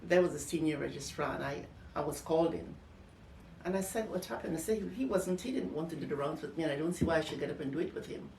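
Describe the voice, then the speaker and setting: Older woman reminiscing, lowish voice, echoey room. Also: lively intonation, fast, gravelly, darkish tone. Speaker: interviewee, former nurse. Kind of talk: personal reminiscence in interview. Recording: echoey room, distant mic, faint noise.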